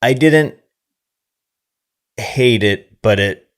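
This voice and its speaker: A man co-hosting a casual film podcast, talking in a halting, rambling way that often trails off mid-thought. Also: medium pitch.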